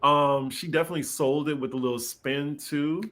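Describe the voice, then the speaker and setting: A man in his thirties on a chat panel, reacting with animated, gushing opinions. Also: on the high side, moderate pace. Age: 30s.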